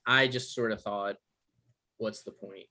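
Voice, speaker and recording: A man's voice: fairly low pitch, steady tone, a little slow, sharp tone, heavily acted. A man playing an evasive, defensive guy in a table read, stammering and hedging in an awkward conversational way. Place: online live stream table read.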